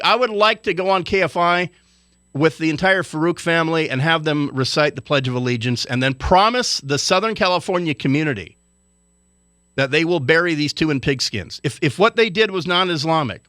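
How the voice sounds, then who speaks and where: A man's voice: fairly high pitch, lively intonation, fairly quick, sharp tone, dry recording, clean studio sound. A man from Hawaii delivering an indignant, lecturing radio commentary rant.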